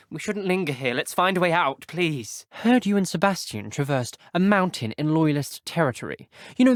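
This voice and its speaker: A man's voice: high-pitched, fairly bright tone, dry recording, studio quality. A young man with a British accent voicing an earnest, guarded teenage wizard schoolboy in a video game, speaking in clipped, formal phrases. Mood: measured, wary. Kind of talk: video game character dialogue.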